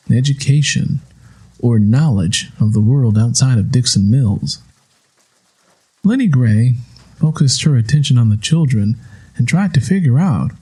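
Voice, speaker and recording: A man with a dark, mellow voice narrates literary fiction. His tone is husky and his storytelling is measured and solemn, at a middle male pitch. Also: rough recording. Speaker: audiobook narrator.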